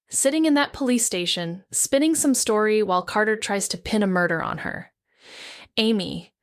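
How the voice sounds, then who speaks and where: A woman's voice: mid-range voice, no echo, clean studio sound, close to an everyday voice. A woman playing a brooding, loyal detective narrating her thoughts in clipped, intense noir audio drama phrases.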